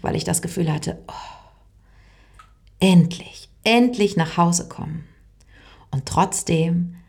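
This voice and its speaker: A middle-aged woman voice teacher explaining her work in a calm, thoughtful way, sometimes dropping into a soft whisper. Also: on the low side, breathy edge. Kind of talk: reflective explanatory monologue.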